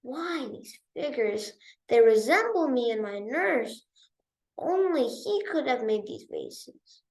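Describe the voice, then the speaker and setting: A woman's voice: fairly high pitch, big pitch swings, takes it slowly, a little mellow, heavily acted. A woman voicing a proud, vain young princess with an indignant, emphatic manner in a storybook reading. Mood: suspicious.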